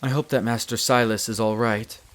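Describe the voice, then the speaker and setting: A man playing a courteous, devoted wolf butler in a roleplay audio drama, speaking in a formal, measured and deferential way. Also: medium pitch, steady tone, a bit bright, lightly acted. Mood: earnest, slightly worried. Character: courteous, devoted male wolf butler, head servant of vampire castle.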